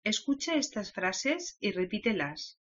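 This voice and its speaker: Lively woman giving lesson prompts. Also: mid-range voice, moderate pace, over loud music. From Valencia, Spain. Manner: giving clear instructions to learners. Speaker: language course presenter. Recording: dull lo-fi audio.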